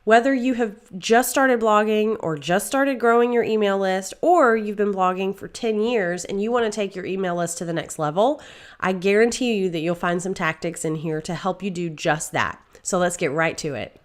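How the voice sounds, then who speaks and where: A woman's voice: medium pitch, moderate pace. Stuffy-nosed upbeat woman podcast host. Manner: upbeat, chatty, playfully sarcastic. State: nasal and stuffy from a head cold. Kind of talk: casual solo podcast talk.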